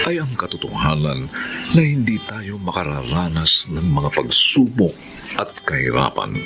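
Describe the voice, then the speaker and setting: A deep male announcer with sweeping intonation narrates a radio drama. His delivery is solemn and declamatory, and music plays clearly behind him. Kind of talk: formal, dramatic scripted narration.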